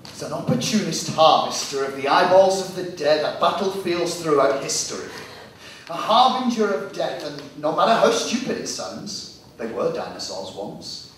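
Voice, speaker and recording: A man's voice: a little high, very animated, moderate pace, fairly bright tone. A man playing a grumpy, uneasy boyfriend in a live dramatic story performance. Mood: brooding. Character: Graham, grumpy boyfriend unnerved by a crow. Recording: distant, very echoey hall, faint noise.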